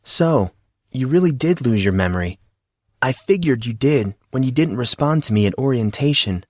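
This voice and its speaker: A man voicing a polite, knowing teenage schoolboy in audiobook dialogue, calm and measured. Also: medium pitch, no room sound, studio quality, pitched above the performer's own voice, lightly acted.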